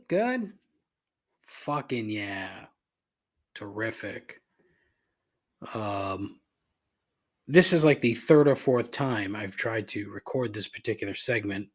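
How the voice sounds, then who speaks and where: A man's voice: fairly low pitch, expressive, unhurried, long pauses, fairly bright tone, breathy edge. A congested, slightly nasal man hosting a solo podcast, rambling and joking at his own expense on a cheap microphone in a cavernous, echoey room. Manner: rambling, self-deprecating, many fillers and restarts. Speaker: podcast host. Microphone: cheap desk microphone.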